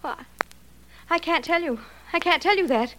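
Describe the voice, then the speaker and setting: A frightened young woman in an old radio mystery. Her voice is very high and urgent, faltering as she pleads in melodramatic 1940s style. A light layer of noise sits on the vintage recording. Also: expressive, normal speed, sharp tone, full character voice, poor audio. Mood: terrified, pleading.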